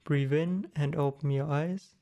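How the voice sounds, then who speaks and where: A man guides a meditation in a slow, smooth voice, pitched a little higher than most men. He gives calm, measured instructions in short phrases, with a soft, mellow tone. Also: dull audio.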